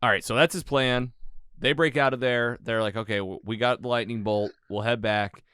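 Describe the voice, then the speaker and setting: A man's voice: mid-range voice, fairly even intonation, normal speed, fairly bright tone, clean tone, no echo, clean studio sound. A man hosting a casual film recap chat, retelling scenes and acting out bits of dialogue as he goes. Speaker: podcast host leading a movie recap.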